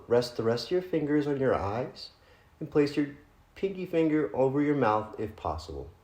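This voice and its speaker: A man leading a guided meditation, giving gentle, unhurried instructions in short phrases with pauses between them. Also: medium pitch. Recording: roomy, echoing room sound.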